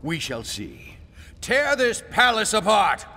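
Gravelly, menacing snow leopard villain. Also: male voice, wildly swooping pitch, slow pace, heavily acted. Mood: demanding, threatening. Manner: taunting, commanding, dramatic. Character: menacing, resentful snow leopard villain, proud former pupil. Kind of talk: animated action game acting. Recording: loud rumbling background noise.

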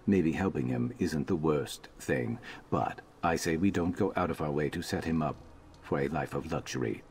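Reptilian outlaw companion for a fantasy game: extremely low, guarded, dismissive, dry delivery. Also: male voice, normal speed, darkish tone, slightly rough. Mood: wary. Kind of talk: fantasy video game dialogue.

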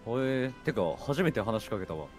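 A man's voice: medium pitch, very animated, moderate pace. A man gaming and chatting casually with a co-player as a streamer, teasing with dry retorts. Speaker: game streamer. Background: game music.

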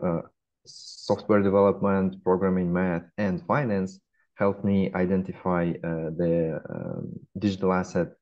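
Deep-voiced Russian man, dull call audio. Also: a little mellow. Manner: measured, frequent hesitations. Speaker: guest, fintech founder. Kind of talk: interview answers. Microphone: laptop webcam.

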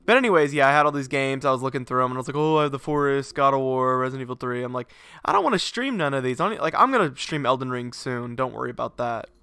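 A man's voice: a little high, vocal fry, clean tone, no echo. Congested, rambling young streamer guy. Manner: rambling, swears freely, excitable. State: congested, sinusy. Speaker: game streamer. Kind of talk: casual live-stream chat. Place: home garage setup.

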